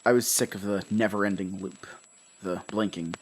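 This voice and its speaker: An actor playing a haunted, guilt-ridden husband and father, narrating a horror audio drama in a halting, anguished way. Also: fairly low pitch, fairly even intonation, close to an everyday voice. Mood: grim, weary. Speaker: narrator and lead character.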